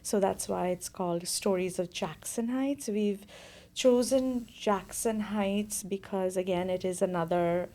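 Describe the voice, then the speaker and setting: A woman from India, a playwright guest, earnestly explaining her play in a measured way. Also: medium pitch, mellow. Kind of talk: interview answers. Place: studio.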